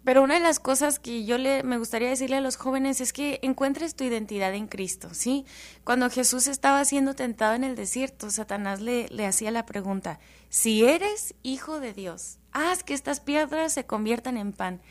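High-voiced woman from El Paso, animated. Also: moderate pace. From El Paso, Texas. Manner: animated, joking, warm testimony. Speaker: guest.

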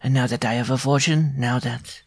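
Storybook dwarf, male performer: small, lovesick and irascible, shrill high-set voice, grandiose delivery. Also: fairly quick, a bit bright, no room sound, studio quality. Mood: indignant. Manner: grandiose, theatrical, florid. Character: proud, irascible, lovesick little French circus dwarf. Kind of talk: storybook character voice.

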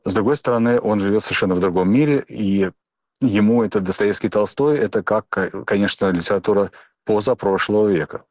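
A man's voice: fairly low pitch, a little fast, a little mellow, dry recording. A middle-aged man from Russia answering interview questions, thoughtful and hesitant, with frequent restarts and fillers. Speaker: guest.

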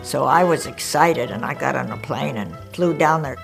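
A woman's voice: a bass voice, normal speed, creaky, over music, low-quality recording. An older woman from Hawaii, a former wartime pilot, telling her memories in an interview with vivid, fond storytelling. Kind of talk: documentary interview, reminiscing.

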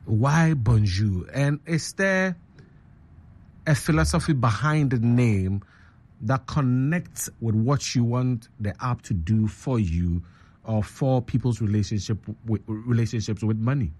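A man hosting a breakfast radio show, asking a guest measured, probing questions. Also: medium pitch, expressive, darkish tone, husky. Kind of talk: radio interview.

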